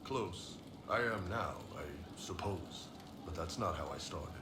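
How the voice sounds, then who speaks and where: A big horned mercenary spy with a very low voice. He is gruff, blunt and world-weary, yet easygoing, like a game character talking to his boss. The sound is echoey with noise behind it. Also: animated, unhurried. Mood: wry, weary. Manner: casual, plain-spoken, blunt. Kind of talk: video game dialogue. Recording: roomy echo with clear background noise.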